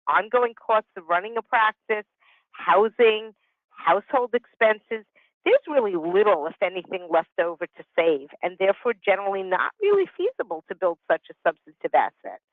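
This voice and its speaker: A woman's voice: on the high side, no echo. Tinny phone-line woman in her sixties presenting. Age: 60s. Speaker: business consultant and presenter. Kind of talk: prepared presentation with conversational asides. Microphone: telephone. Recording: tinny, narrow telephone conference line.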